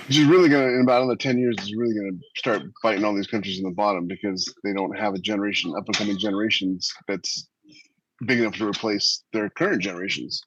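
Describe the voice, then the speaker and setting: A man's voice: on the low side, steady tone, quick pace, a little hiss or hum. An American man co-hosting a podcast, casually explaining and repeating phrases for emphasis, on a dull lo-fi mic. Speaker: podcast co-host. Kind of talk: casual panel discussion.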